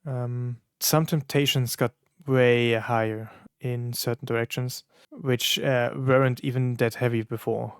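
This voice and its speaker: A man whose first language is German, giving casual reaction commentary in a halting way, with many fillers and restarts as he searches for words. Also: mid-range voice, level tone, smooth, dry recording, studio quality. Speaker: content creator reacting to songs.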